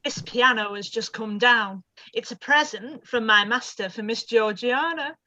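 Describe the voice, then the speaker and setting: A woman's voice: on the high side, unhurried, lo-fi sound. A woman voicing a chatty, old-fashioned housekeeper who is proud of her master, in a live-read radio play. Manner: chatty, warm, old-fashioned servant speech.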